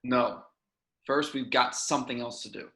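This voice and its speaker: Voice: medium pitch, normal speed, fairly bright tone, close to an everyday voice. An actor in an amateur table read playing a terse, businesslike male spaceship captain. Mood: terse, grave.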